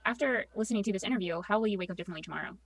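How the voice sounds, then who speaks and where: A woman's voice: medium pitch, racing, gravelly, dry recording, distant mic. A woman co-hosting a podcast, reflecting earnestly and with feeling on an interview, sometimes repeating phrases. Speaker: podcast co-host. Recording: podcast audio played back in editor.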